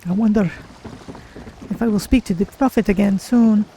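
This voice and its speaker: A streamer voicing an earnest, curious female cat-folk adventurer in a fantasy game, with measured, formal phrasing. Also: mid-range voice, gravelly, warm tone, slight background noise, lightly acted, low-quality recording. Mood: earnest, polite. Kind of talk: in-character game roleplay narration.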